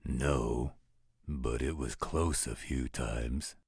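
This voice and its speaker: A man playing a gruff, overprotective werewolf guardian in an audio roleplay, gravelly and husky, with a protective, fatherly manner. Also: rumbling low, drawn-out words, darkish tone, dry recording, clean studio sound, heavily acted. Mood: gruff, defensive. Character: gruff, overprotective male werewolf guardian, tender toward his ward.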